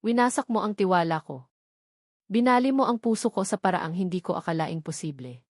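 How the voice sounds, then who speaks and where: Lady doing a calm but hurt young woman, firm and deliberate, romance drama, mid pitch. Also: no echo, clean studio sound, lightly acted. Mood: hurt, resolute. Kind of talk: romance audio drama dialogue.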